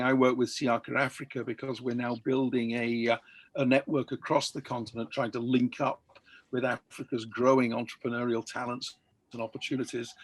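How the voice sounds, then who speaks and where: A man's voice: medium pitch, no echo. A man hosting an online interview over a video call, speaking with many hesitations and restarts. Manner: hesitant, frequent fillers and restarts. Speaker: interviewer and host. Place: home in London during lockdown.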